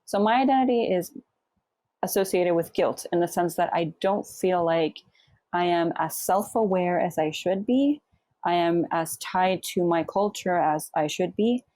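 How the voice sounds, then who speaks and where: A woman's voice: on the low side, measured pace, dark tone, no room sound. Dry-voiced, reflective woman from Puerto Rico. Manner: reflective, laughs often, searches for words. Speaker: author guest. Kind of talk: casual interview conversation.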